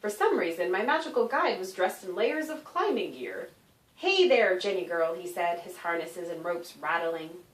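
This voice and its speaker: A woman author reading a children's story aloud in an expressive, animated narration with dramatic emphasis. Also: lowish voice, moderate pace. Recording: roomy echo, distant microphone.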